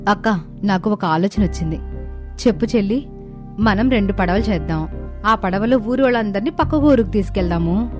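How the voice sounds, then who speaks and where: A woman's voice: mid-range voice, lively intonation, normal speed, close to an everyday voice. A woman playing earnest, caring young village sisters in a moral story cartoon, with a dramatic delivery. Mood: concerned, determined. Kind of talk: animated moral story dialogue. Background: background music.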